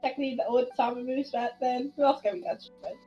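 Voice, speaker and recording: High voiced girl streamer rambling over a game, casual, trails off, faint music behind. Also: fast talker, dark tone, poor audio. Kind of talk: casual chat while playing a video game. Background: video game audio.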